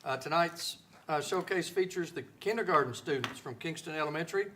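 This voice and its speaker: A man introducing a student showcase at a school board meeting, relaxed, with fillers and light jokes. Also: a little high, a little fast. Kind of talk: meeting presentation, partly read.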